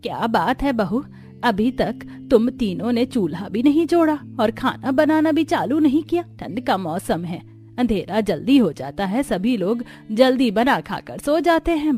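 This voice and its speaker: A woman's voice: on the high side, sing-song, moderate pace, mellow, soft background music. A woman voicing a stern older mother-in-law in a cartoon story, nagging as she talks. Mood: scolding.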